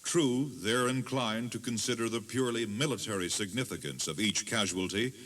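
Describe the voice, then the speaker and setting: A man giving solemn, measured, dramatic scripted narration as a tour guide. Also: on the low side, a bit bright.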